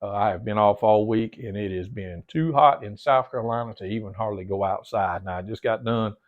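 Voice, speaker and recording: A man's voice: on the low side, fairly quick. A middle-aged man, a little stuffy-nosed, chatting casually to camera in his home theater room and rambling, repeating words and calling his viewers "guys". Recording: dull lo-fi sound.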